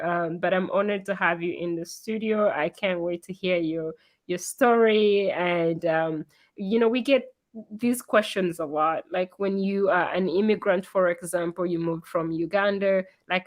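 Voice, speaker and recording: Hoarse, giggly woman hosting a live stream. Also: mid-range voice. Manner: giggly, chatty, many fillers. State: hoarse from illness. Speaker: interview host. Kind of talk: casual live-stream chat. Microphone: computer mic on a video call.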